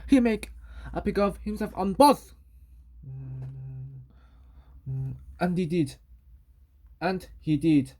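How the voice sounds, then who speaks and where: Lower-pitched woman reading a story, haltingly. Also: lively intonation, mellow, husky. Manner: halting, repeats and corrects words while reading. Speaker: reader of a children's story. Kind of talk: reading a children's story aloud.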